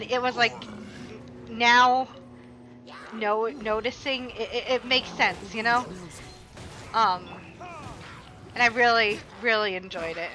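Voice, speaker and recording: Woman streamer, bright crisp tone, rambling casual chat over gameplay. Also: a little high. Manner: rambling, talks back to the game as she plays. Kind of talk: casual chat while gaming.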